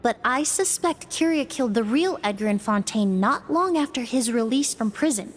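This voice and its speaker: A woman voicing a sharp, analytical young female investigator in a game, explaining in a calm, measured way. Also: fairly high pitch. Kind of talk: voiced game dialogue.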